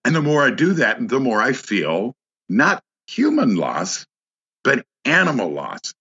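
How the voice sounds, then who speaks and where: A man who is a guest on a podcast, telling a personal story in casual conversation, halting with many restarts and fillers. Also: mid-range voice, wildly swooping pitch, pushed and bright, breathy edge, lo-fi sound.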